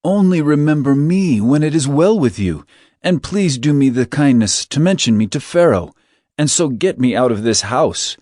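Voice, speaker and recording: Earnest young Hebrew servant played by a man, lively pitch. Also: moderate pace, no echo, studio quality, lightly acted. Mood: earnest, gentle. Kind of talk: dramatized scripture reading.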